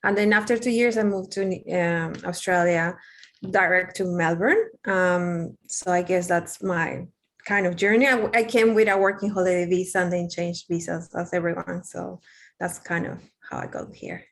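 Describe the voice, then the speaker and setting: A woman's voice: lowish voice, steady tone, moderate pace. A young adult woman from Peru with a Peruvian Spanish accent, speaking English as a second language as an interview guest, candid and rambling with many fillers and restarts. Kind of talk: casual interview.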